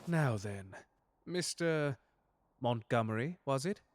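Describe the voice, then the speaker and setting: A man playing a suave, unflappable butler in an audio roleplay drama, speaking in formal, genteel, precise language with quiet menace. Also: mid-range voice, lively intonation, slow. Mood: smooth menace.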